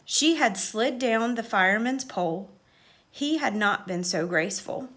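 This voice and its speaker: A woman reading a children's story aloud with expressive, measured, dramatic narration. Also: fairly high pitch.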